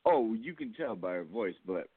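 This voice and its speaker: A man's voice: lowish voice, wildly swooping pitch, moderate pace, gravelly, a loud crowd. Profane forty-year-old man on a phone line. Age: 40s. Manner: loose, profane, laughing banter. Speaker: host. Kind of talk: casual radio chat. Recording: phone-line call-in audio.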